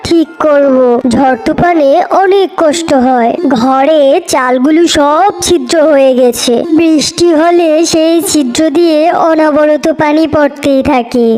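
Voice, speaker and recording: Woman voicing a high-pitched, humble poor fox husband. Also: measured pace, hardly any pauses, darkish tone. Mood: weary, pleading. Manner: pleading, folksy, plaintive. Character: poor, humble, hard-luck male fox villager, husband and father. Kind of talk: cartoon folktale character acting.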